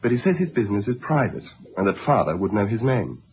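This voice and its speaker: A man's voice: lowish voice, sing-song, fast talker. An actor playing a hesitant, polite upper-class schoolboy in his late teens, in a 1970s radio drama. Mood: casual, dutiful. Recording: dull, noisy old radio recording.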